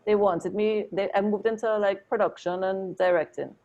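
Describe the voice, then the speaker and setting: A woman, a photographer and interview guest, telling her story in a chatty, animated way with lots of "like" and "oh my God". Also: medium pitch, moderate pace, highs cut off.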